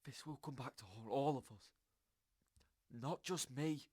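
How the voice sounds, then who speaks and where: An actor playing a desperate, defiant man in an audio drama, with a forceful and bitter manner. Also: mid-range voice, unhurried, slightly rough, no room sound, clean studio sound. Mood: bitter, anguished.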